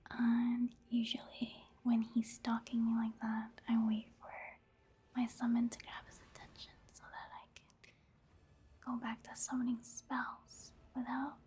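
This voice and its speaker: A woman giving soft-spoken ASMR commentary over gameplay in a breathy, hushed whisper, unhurried. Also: fairly high pitch, completely flat delivery. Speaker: ASMR video creator narrating her gameplay.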